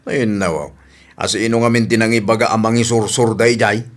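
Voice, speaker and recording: A man's voice: lowish voice, fairly even intonation, brisk, close to an everyday voice. A man playing a meddling, secretive village trader in an Ilocano radio drama, with an Ilocano Filipino accent and a grumbling manner.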